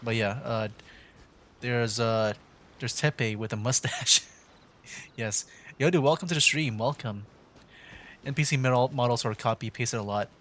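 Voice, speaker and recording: A man's voice: mid-range voice, moderate pace, long pauses, fairly bright tone. A man livestreaming a video game with casual, chatty, slangy commentary. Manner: informal slang, chatty, reactive. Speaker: game streamer. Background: faint game music.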